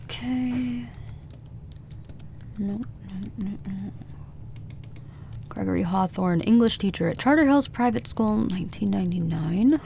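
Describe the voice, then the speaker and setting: A woman's voice: mid-range voice, measured pace, creaky, pauses often, dark tone, a little hiss or hum. An actress playing a determined young woman investigating a mystery in an audio drama, narrating in the first person and muttering to herself. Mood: frustrated, searching. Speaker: lead actor and narrator.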